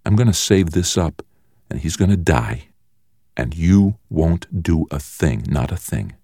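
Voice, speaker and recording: A man's voice: a bass voice, lively intonation, unhurried, mellow, no echo, clean studio sound, heavily acted. A man voicing a cruel, mocking older brother, a menacing and theatrical boy bully in an audiobook. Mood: menacing, taunting. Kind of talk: audiobook character voice.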